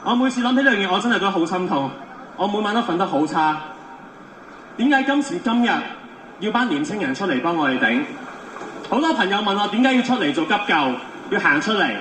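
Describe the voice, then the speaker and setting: A man's voice: high voice, very animated, quick pace, fairly bright tone. A man in his thirties from Hong Kong, a volunteer first aider, giving an emphatic, pleading speech to a protest crowd. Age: 30s. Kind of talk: impassioned street speech. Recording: echoey, distant, faint street noise.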